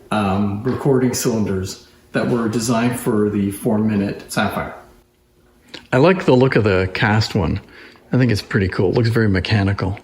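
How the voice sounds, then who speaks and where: A man presents in an informal, explanatory way, as if showing objects by hand. His voice sits at a medium pitch. The recording is roomy and echoing, with faint noise behind him. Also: a little mellow. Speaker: presenter showing antique phonograph machines. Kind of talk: informal hands-on demonstration, explaining. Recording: roomy echo, clear background noise.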